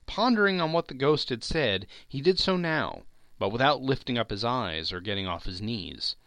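A man narrates a classic tale in a theatrical, expressive audiobook style. His voice is bright and a little high, with lively swings of pitch. The sound is close and dry. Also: normal speed, no room sound. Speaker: podcast narrator.

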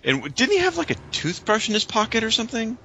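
A man's voice: high-pitched, wildly swooping pitch, fast talker, vocal fry, pushed and bright, soft background music. An American man co-hosting a podcast in casual, conversational chat. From United States. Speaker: podcast co-host.

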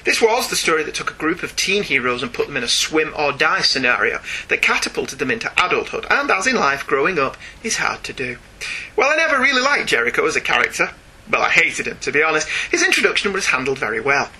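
A man's voice: on the high side, big pitch swings, quick pace, pushed and bright, breathy edge, low-quality recording. A chatty, enthusiastic man co-hosting a podcast, talking casually and reading out listener emails. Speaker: podcast co-host. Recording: faint background noise.

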